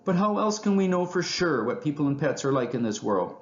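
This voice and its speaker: A man voicing a skeptical, bossy but adventurous male squirrel in a children's storybook, brisk and insistent. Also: on the high side, very animated, reverberant, dull audio, pitched above the performer's own voice. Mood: eager. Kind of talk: children's storybook character reading.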